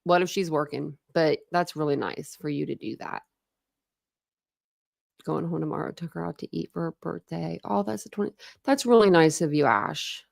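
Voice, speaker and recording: A woman's voice: low voice, vocal fry, no room sound, clean studio sound. A woman hosting a casual true crime livestream in a rambling, chatty way, answering viewers. She has a mixed Midwest and Southern American accent. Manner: chatty, rambling, quick asides with laughs. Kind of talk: casual livestream chat reading comments.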